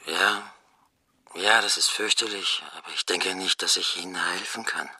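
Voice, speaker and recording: Deep, sharp, reluctant man. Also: fairly even intonation, moderate pace, husky, dry recording, full character voice. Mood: wary, reluctant. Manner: curt, reluctant. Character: reluctant, wary man answering a troubled stranger. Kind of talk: German radio crime drama acting.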